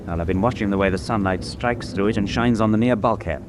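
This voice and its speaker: An actor playing a knowledgeable British merchant sailor held prisoner in a wartime radio drama, plain and explanatory in manner. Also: male voice, on the low side, fast talker, background noise, natural voice. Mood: explaining, sober. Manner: matter-of-fact, explanatory.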